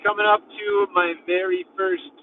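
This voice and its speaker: A man's voice: high voice, level tone, normal speed. A young man casually vlogging while driving his van, rambling and leaning heavily on filler words. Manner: rambling, heavy use of "like". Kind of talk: casual road-trip vlogging. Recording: thin phone-like sound from a distant mic in a car. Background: faint road and engine noise.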